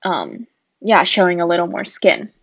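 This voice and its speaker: A woman's voice: on the low side, expressive, moderate pace, vocal fry, no echo, muffled recording. Young woman, thoughtful and earnest. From Japan. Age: young adult. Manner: earnest, thoughtful, with frequent fillers. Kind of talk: casual conversation.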